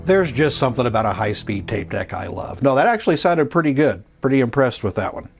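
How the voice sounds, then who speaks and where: A man's voice: mid-range voice, big pitch swings, fast talker, faint music behind. A man hosting a casual hands-on gear demo, chatting informally as he talks through each step. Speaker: host of a vintage electronics channel.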